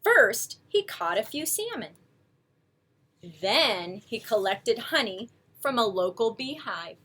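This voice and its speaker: A woman storyteller reading a picture book aloud to young children, with expressive, coaxing asides to her listeners. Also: a little high, slow pace, slightly rough.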